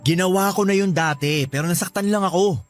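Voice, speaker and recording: A man's voice: high-pitched, brisk, natural voice. A man playing a hurt, defensive young lover in a Filipino radio drama, self-pitying, with a Filipino accent. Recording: music behind the voice.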